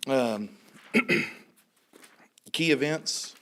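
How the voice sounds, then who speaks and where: A man in his thirties, a high school principal, giving a report at a school board meeting while a little hoarse from a cold, clearing his throat often. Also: drawn-out words, sharp tone. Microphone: podium microphone.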